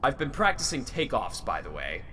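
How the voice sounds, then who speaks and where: A man's voice: medium pitch, huge pitch swings, normal speed, sharp tone, big-room sound, a little hiss or hum. A man playing a cocky, playful, roguish spaceship captain in an audio drama, teasing and mock-formal. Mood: breezy confidence.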